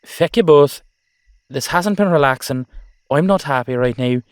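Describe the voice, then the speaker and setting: A man's voice: fairly high pitch, moderate pace, smooth, no echo, voice pushed up, close to an everyday voice. A man voicing an exasperated, foul-mouthed Irish dullahan horseman in an audio roleplay drama, blustering and complaining with an Irish accent.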